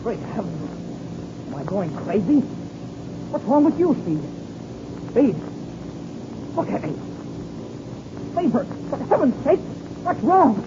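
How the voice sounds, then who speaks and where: An actor playing an earnest, anxious young co-pilot in a melodramatic 1930s radio serial. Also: male voice, very high voice, very animated, slow pace, pauses often, exaggerated voice. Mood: alarmed. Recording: muffled, noisy old radio recording.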